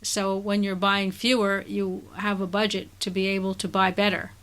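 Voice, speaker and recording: A woman hosting a podcast interview, speaking in a measured, thoughtful way. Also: medium pitch, little pitch movement, fairly bright tone. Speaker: podcast host. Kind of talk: conversational interview.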